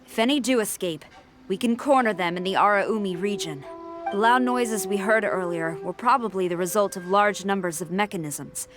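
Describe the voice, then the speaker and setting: A woman's voice: mid-range voice, normal speed, soft background music, lightly acted. A woman voicing a stern, formal female military general in a game, speaking in a clipped, commanding way. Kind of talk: video game cutscene dialogue.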